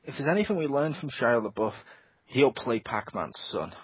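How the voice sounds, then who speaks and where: A man chats on a gaming podcast in a very husky, raspy voice that swings widely up and down. He is animated and swears freely. The sound is dry and dull, like lo-fi podcast audio. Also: mid-range voice, normal speed, no room sound. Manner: animated, swears a lot, acts out his own reactions. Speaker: podcast host. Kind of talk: casual gaming chat.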